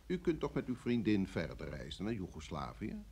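An actor playing a senior security chief in an old radio drama, brusque and formal, with a husky voice and an authoritative manner. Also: male voice, low voice, huge pitch swings, darkish tone, heavily acted. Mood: firm, persuasive. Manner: authoritative, formal, measured phrasing. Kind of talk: vintage Dutch radio drama acting.

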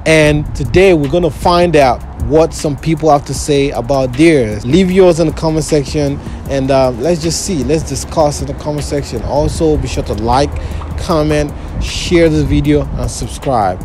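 Friendly Nigerian-born man interviewing shoppers. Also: fairly high pitch. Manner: friendly, laughing, drawn-out questions. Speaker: street interviewer host. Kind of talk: casual street interview. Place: indoor clothing store and outside a store. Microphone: handheld phone held toward guests. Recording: background music under voices.

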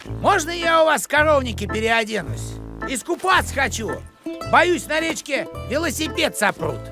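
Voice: sits high, big pitch swings, moderate pace. A performer playing a breezy, cheerful neighbor in a cartoon, with music behind the voice. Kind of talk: cartoon voice acting.

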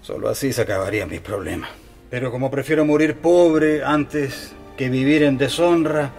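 An actor playing an anxious, downcast married shopkeeper in a radio drama, hesitant and repeating himself. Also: male voice, medium pitch, normal speed, darkish tone, faint music behind, natural voice. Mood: despairing.

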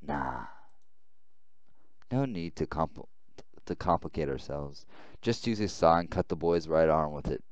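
A man voicing a gruff, threatening military boss in a visual novel, blunt and menacing. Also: rumbling low, lively intonation, unhurried, pauses often, dry recording.

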